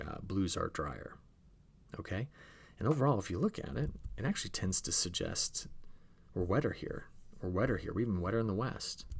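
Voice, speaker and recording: A man with a fairly low voice gives an informal weather briefing. He explains like a forecaster talking straight to his followers, conversational and emphatic, often putting questions to the listener. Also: vocal fry, husky. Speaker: weather forecaster presenting an outlook.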